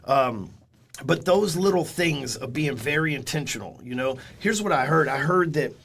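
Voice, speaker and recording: A man's voice: on the high side, lively intonation, moderate pace, creaky, fairly bright tone, slightly rough. A man in his forties, a guest in a casual interview, telling stories in a blunt, candid way and swearing freely. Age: 40s.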